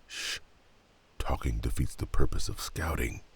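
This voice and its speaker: A man's voice: a bass voice, unhurried, husky, dry recording, heavily acted. A man playing a stern, terse knight giving orders in a fantasy audio drama. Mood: terse, commanding. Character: stern, no-nonsense male knight scout.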